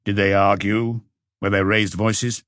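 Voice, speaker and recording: A man voicing a calm, probing police inspector in an audiobook, with measured, terse questioning. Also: lowish voice, no echo, clean studio sound.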